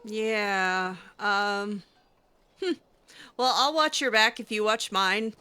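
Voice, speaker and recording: A woman's voice: medium pitch, takes it slowly, sharp tone, clean tone. A woman doing casual, improvised roleplay commentary over a video game, chatty and playful with a hesitant way of talking. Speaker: gamer roleplaying a character.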